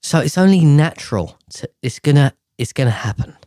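A man's voice: a little high, lively intonation, a little fast, dark tone, no room sound, clean studio sound. A man ranting casually to camera, profane and exasperated, rambling with sighs and restarts.

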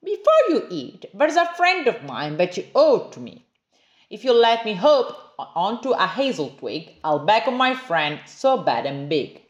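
A woman's voice: medium pitch, big pitch swings, unhurried, reverberant, dull audio, voice pushed up. A woman voicing a small, crafty storybook mouse with a pleading, persuasive manner. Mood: urgent, persuasive. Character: small, crafty mouse bargaining with a young monster.

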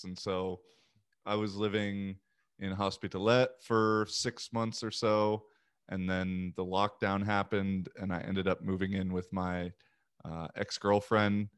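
Low-voiced man chatting casually. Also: smooth. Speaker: youth sports coach and language learner. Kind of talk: casual conversation.

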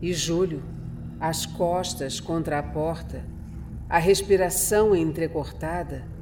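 A woman's voice: deep voice, takes it slowly, noisy background. A woman narrator reading a scripted horror radio drama.

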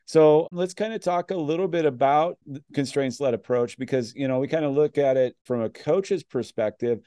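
A man's voice: on the high side, normal speed, mellow, no room sound, clean studio sound. A man hosting a coaching podcast in a conversational way, with frequent fillers. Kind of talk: casual podcast interview.

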